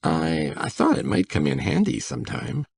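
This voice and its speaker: A man playing a shy, flustered, gentle middle-aged country bachelor farmer in an audiobook drama, gravelly and stammering. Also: very deep voice, wildly swooping pitch, normal speed, no room sound, clean studio sound, exaggerated voice. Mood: flustered, hesitant. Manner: stammering, hesitant.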